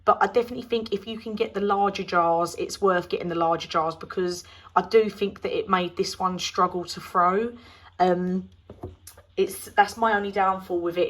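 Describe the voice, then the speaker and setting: A woman from the UK with a British accent, chatting casually through a product review, her voice slightly croaky after laryngitis. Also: fairly low pitch, fairly bright tone. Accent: British English. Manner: chatty, rambling with many ums. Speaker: candle reviewer.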